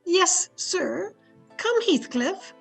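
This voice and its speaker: A woman playing a dutiful housekeeper who narrates a family's story in an old-time radio drama, deliberate and storytelling in manner. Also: extremely high pitch, animated, vocal fry, fairly bright tone, heavily acted. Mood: obliging. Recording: home recording with music.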